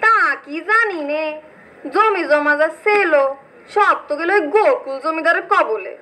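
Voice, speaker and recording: Actress as shrill, defiant village aunt. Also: female voice, bright, forceful tone, slight background noise, exaggerated voice, rough recording. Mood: scornful. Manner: resolute, colloquial. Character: defiant, protective village aunt guarding her niece. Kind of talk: acted short-story drama in rural Bengali.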